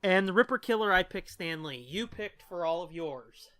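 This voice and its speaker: Male podcast host, bright clean delivery, informal and foul-mouthed, conversational. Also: high voice, normal speed, no echo. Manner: informal, sweary. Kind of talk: casual chat, profane.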